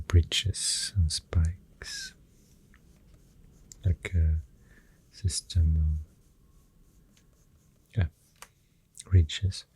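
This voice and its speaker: A soft-spoken ASMR artist with a Swedish accent, rambling in a hushed, halting, breathy half-whisper while drawing. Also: a bass voice, a little hiss or hum, poor audio. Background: pencil writing and drawing sounds.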